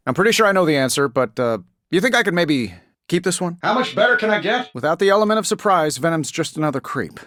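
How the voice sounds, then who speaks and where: An actor voicing a wisecracking, self-assured billionaire inventor superhero in a video game, with an American accent and glib, sarcastic banter. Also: male voice, mid-range voice, a bit bright, no echo, clean studio sound, lightly acted. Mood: cocky, quippy.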